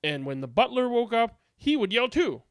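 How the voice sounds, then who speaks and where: A woman's voice: fairly high pitch, very animated, normal speed, no echo, studio quality. A woman reading a children's storybook aloud as narrator, with a dramatic, comic, emphatic delivery.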